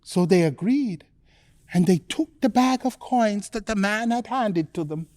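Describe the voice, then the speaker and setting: A woman telling a children's bedtime story in a theatrical, deliberate way with dramatic pauses. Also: mid-range voice, lively intonation, darkish tone, dry recording.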